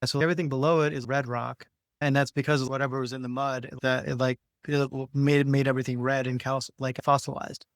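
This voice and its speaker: A man's voice: mid-range voice, flat delivery, no echo. Man casually explaining, thinking aloud. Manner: informal, halting, thinking aloud while explaining. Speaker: podcast co-host.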